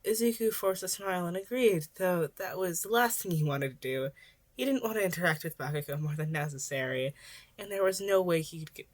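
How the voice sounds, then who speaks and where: Young woman with a slightly low voice. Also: brisk. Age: young adult. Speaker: podfic reader. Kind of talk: casual intro chat and expressive amateur fiction narration.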